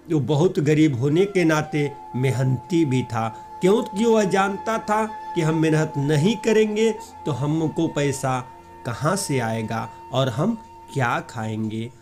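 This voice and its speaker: Male narrator for a children's fable: unhurried, drawn-out delivery, slightly high register, music bed underneath. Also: a little mellow. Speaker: story narrator. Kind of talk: scripted children's moral-story narration.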